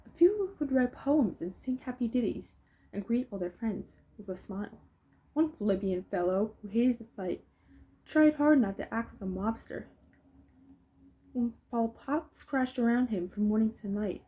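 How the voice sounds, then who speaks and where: Mellow-voiced woman reading a storybook. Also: on the high side, expressive, a little slow, rough recording. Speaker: storybook reader. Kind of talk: reading a rhyming children's story aloud, with casual asides.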